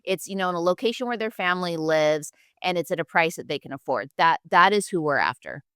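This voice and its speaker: A woman's voice: fairly low pitch, lively intonation, a little fast, fairly bright tone, smooth, dry recording, clean studio sound. A woman hosting a conversational interview, speaking casually and emphatically. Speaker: podcast host, real estate advisor.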